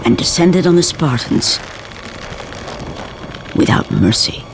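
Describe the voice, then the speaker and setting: A man's voice: a little high, very animated, slow, noisy background, full character voice. A man playing the grave, portentous storyteller of a mythic tragedy, narrating dramatically for a video game. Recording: loud music behind. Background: loud cinematic music.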